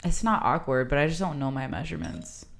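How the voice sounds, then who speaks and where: A young woman from Miami chats with a laid-back, frank ease and breaks into giggles often. Her voice sits notably low for a woman. The talk is relaxed and unscripted, as a podcast guest answering questions. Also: fairly quick. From Miami, Florida. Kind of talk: casual chat.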